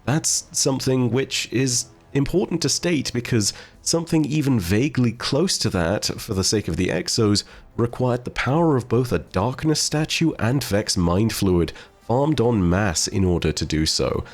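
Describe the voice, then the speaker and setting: A man giving video game lore commentary in a measured, explanatory, wordy way. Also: medium pitch, animated. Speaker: video host. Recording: music behind the voice. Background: background music.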